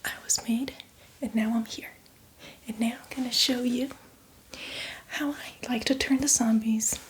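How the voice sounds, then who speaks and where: A woman playing a boastful, playfully menacing female zombie in a chatty, teasing ASMR roleplay, speaking in a breathy, hushed whisper. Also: a little high, steady tone, measured pace, slight background noise, close to an everyday voice. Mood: chatty, proud. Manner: chatty, teasing, addresses the listener as victim.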